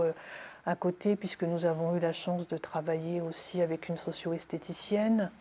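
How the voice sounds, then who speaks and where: A middle-aged woman with a lowish, very mellow voice talks about her work as a nurse. She is reflective and earnest, in the style of a documentary interview. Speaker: hospital nurse.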